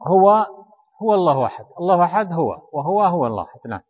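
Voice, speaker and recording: A man explains grammar line by line in a traditional lesson, repeating phrases and questioning his student. His voice sits a little high. The recording is muffled and lo-fi, with a long hall echo. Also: very animated, quick pace, darkish tone, slightly rough. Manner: explains line by line, repeats key phrases, quizzes and corrects the reader. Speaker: teacher of Arabic grammar. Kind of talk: traditional study-circle lesson explaining a text. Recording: reverberant hall, dull lo-fi sound.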